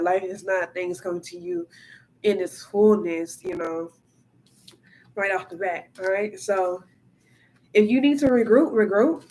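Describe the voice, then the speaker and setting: A woman's voice: mid-range voice, poor audio. A woman reads tarot cards in a casual, chatty way, stuffed up from allergies, and keeps checking in with "all right?" and "okay?". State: congested. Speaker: tarot reader. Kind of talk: casual tarot reading to camera.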